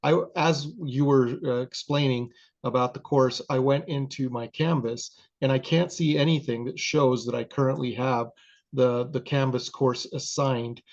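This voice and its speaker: A man's voice: mid-range voice, unhurried. A man, a PE teacher, introducing himself in an online meeting with fillers. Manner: flat, with fillers. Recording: dull, lo-fi online call audio.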